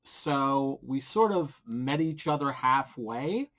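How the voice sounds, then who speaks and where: A middle-aged man talks casually at a desk mic at home, sharing creator advice. His voice sits at an ordinary pitch, and his delivery is blunt and informal, with a crude streak of humor. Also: expressive, takes it slowly, fairly bright tone. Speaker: comic book creator giving advice. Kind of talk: casual advice vlog to camera. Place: small indoor home room. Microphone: desk microphone with pop filter, close.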